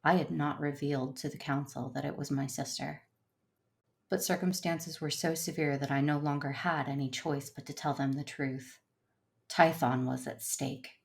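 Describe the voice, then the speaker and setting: A woman playing a solemn, conflicted but resolute Jedi warrior in an audio drama, speaking in a measured, earnest way. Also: sits low, fairly even intonation, vocal fry. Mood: brooding, somber. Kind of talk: first-person narration and dialogue in audio drama.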